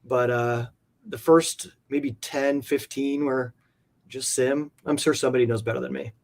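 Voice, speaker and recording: Earnest, halting man in casual interview. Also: mid-range voice, steady tone, darkish tone. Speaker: guest, comic art collector.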